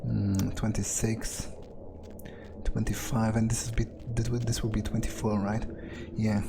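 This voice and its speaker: A man roleplaying a weary, wary, sardonic vampire in a video game, thinking aloud in a halting, sweary mutter. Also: lowish voice, level tone, vocal fry, noisy background. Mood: focused, musing. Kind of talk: in-character improvised gameplay monologue.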